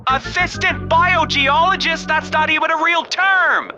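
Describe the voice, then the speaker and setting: A man's voice: fairly high pitch, animated, normal speed, highs cut off, soft background music. A man playing a sarcastic bored recruit. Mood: outraged. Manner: sarcastic, bursts into shouting. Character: sarcastic young male recruit, bored and rebellious.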